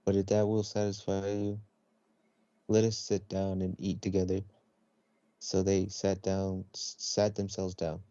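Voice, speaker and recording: A man reading a children's story aloud in a somewhat halting way. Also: low voice, completely flat delivery, dark tone, dry recording. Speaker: storybook reader. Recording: dull, lo-fi close recording.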